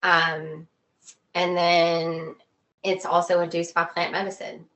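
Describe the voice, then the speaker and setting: A woman with a fairly low voice talks casually as an interview guest. She explains things earnestly, pausing haltingly and repeating phrases to drive them home. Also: level tone, fairly bright tone.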